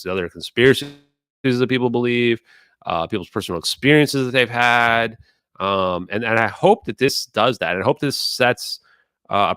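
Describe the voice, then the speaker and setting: Earnest, bright-voiced man, dry sound. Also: lowish voice, no room sound. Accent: mid-Atlantic. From United States. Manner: earnest, rambling, swears casually. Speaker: streamer and guest speaker. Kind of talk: casual impassioned talk in an online audio room.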